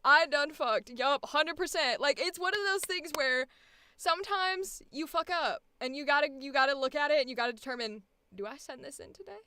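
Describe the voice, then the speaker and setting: A woman voice actor chatting casually about auditions, animated and profane, laughing often. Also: sits high, normal speed. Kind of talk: casual chat.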